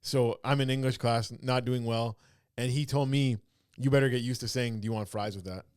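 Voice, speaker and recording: A man from Canada hosting a casual podcast chat, quick and joking, often dropping into impressions. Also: mid-range voice, steady tone, clean tone, dry recording. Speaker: podcast host.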